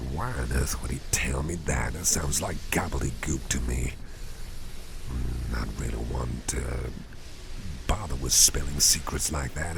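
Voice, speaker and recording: Man playing a very deep, whispering, caring boss. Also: background noise, heavily acted. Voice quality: breathy, whispery, gravelly low voice. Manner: gentle, unhurried, rambling, folksy wording. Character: caring, rambling, soothing male boss at home. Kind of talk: whispered ASMR roleplay.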